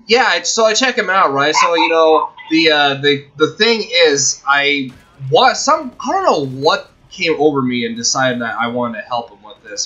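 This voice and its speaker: A young man hosting a video, telling a personal story casually in a halting, rambling, self-correcting way. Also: mid-range voice, bright, forceful tone, muffled recording. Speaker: video host.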